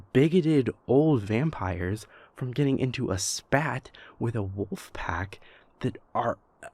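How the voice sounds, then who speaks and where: A man playing an anxious, exasperated young vampire prince in an intimate audio roleplay, speaking in a halting, stammering way. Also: medium pitch. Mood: flustered, exasperated. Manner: halting, stammering, venting, sighing pauses.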